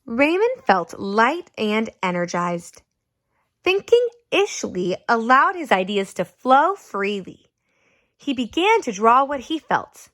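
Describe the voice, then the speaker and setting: Female teacher for children's read-aloud, highly expressive, slow deliberate delivery, child-directed. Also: no room sound, clean studio sound.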